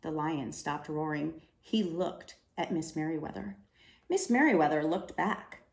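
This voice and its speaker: Slow, animated woman storyteller, roomy sound. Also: low-pitched, creaky. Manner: deliberate, dramatic delivery. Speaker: read-aloud storyteller. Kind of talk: expressive children's storybook reading. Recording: roomy, echoing room sound.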